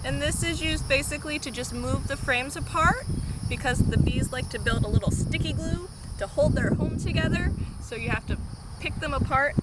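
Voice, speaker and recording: A woman's voice: high-pitched, normal speed, very noisy. A woman presenting a children's storytime, explaining things simply for young listeners. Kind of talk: explaining and reading a picture book aloud to children.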